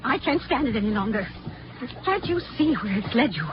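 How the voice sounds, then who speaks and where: Actress as an anguished wife, high voice. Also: female voice, expressive, fairly quick, music in the background, lightly acted. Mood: anguished pleading. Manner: earnest, emphatic, distressed. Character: anguished, pleading wife of a scientist. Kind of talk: 1940s radio drama acting. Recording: muffled 1940s radio recording.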